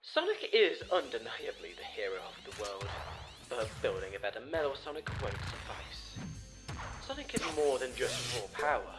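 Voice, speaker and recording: A man's voice: medium pitch, sing-song, over music, natural voice. Man voicing a pompous villainous doctor, swooping theatrical delivery. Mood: grandiose, calculating. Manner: theatrical, formal, deliberate diction. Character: pompous, scheming villain doctor, a cold analytical schemer. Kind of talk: comic dub villain monologue.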